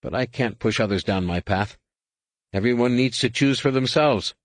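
A man voicing an earnest, idealistic young Jedi who gently moralizes and reflects, in a science fiction audiobook. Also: mid-range voice, normal speed, no echo, close to an everyday voice. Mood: troubled, earnest.

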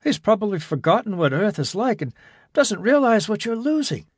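A man's voice: high-pitched, big pitch swings, normal speed, breathy edge, no echo, clean studio sound, pitched above the performer's own voice, exaggerated voice. A man doing a keen, self-assured young man. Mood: eager.

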